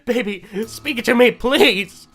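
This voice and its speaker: A man voicing a hysterical grieving mother in a visual novel, shrill, desperate and pleading. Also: slow, sharp tone, heavily acted. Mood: frantic pleading. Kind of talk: dramatic visual novel character acting.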